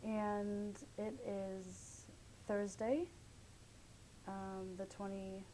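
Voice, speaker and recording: A young woman leads an oral history interview in a mellow voice, asking her questions tentatively with plenty of fillers. A faint low rumble runs beneath the recording. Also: little pitch movement, extremely slow, poor audio.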